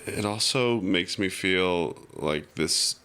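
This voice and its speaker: A man talking reflectively and casually as a podcast guest, in a halting, musing way with many fillers. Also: deep voice, sharp tone, clean tone.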